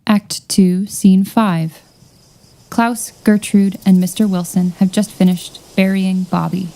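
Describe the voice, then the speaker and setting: Casting: female narrator for radio play stage directions, mellow and unhurried. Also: mid-range voice, steady tone, faint music behind. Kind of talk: scripted narration.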